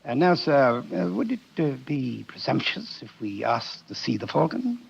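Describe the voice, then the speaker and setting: An actor playing a genteel, wordy older crime boss in a 1940s radio drama, courtly and jovially menacing. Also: male voice, medium pitch, very animated, moderate pace, vocal fry, breathy edge, exaggerated voice. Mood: explanatory. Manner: florid, courtly, chuckling politeness. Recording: muffled 1940s radio broadcast.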